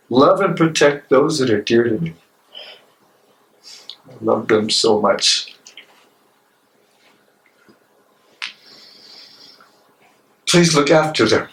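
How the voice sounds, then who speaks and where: A man's voice: medium pitch, fairly bright tone. A man playing an imprisoned, devout and defiant German theologian in a dramatic stage reading, with an impassioned, declamatory delivery. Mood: solemn, prayerful. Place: library room, live reading. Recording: echoey room, distant mic, noisy.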